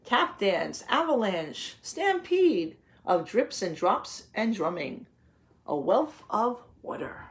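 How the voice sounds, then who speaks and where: Slow-paced woman reading aloud to children. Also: medium pitch, sing-song. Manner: didactic, asking simple rhetorical questions. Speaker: read-aloud teacher. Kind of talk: picture-book read-aloud with explanations for young children.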